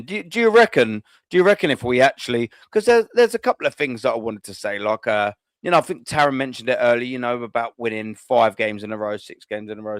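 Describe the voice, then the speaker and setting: A host running a call-in football show, rambling with friendly slang and frequent restarts. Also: male voice, mid-range voice, no echo. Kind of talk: live call-in show hosting.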